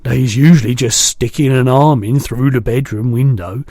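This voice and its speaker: A man reading aloud and voicing a big, kindly male giant who mangles his words, in a louder and higher voice than his own. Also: normal speed, dry recording, studio quality, natural voice. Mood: earnest. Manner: jumbled made-up words, booming and eager.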